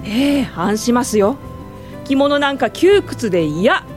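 A woman's voice: a little high, very animated, takes it slowly, darkish tone, soft background music. A woman acting in a Japanese radio drama as a shrewd, confident suffragist, persuasive and theatrical in delivery.

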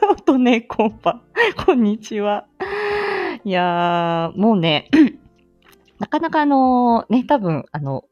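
A woman's voice: medium pitch, a little mellow, dull audio. A woman hosting a casual personal audio stream, chatting in a giggly, self-deprecating manner. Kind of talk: casual chat.

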